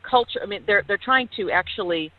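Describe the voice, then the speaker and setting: A woman's voice: mid-range voice, a little fast, no room sound. A woman guest talking on the phone, emphatic and opinionated, restarting often. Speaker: guest, actress and activist. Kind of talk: phone-in interview. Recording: tinny phone line.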